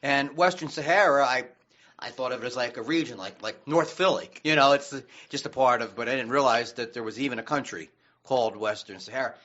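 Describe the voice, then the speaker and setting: A man's voice: mid-range voice, fairly bright tone. Man, American accent, radio co-host, casual, rambling, frequent restarts, muffled lo-fi sound. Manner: halting and rambling, often restarting mid-sentence. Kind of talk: casual discussion on a radio show.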